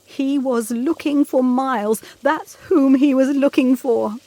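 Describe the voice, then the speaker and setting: Anxious, high-voiced young governess. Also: female voice, unhurried, mellow. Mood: agitated. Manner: intense, questioning, formal period diction. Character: earnest, anxious, insistent young Victorian governess. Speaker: actor in an audio play. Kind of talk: gothic period audio drama acting.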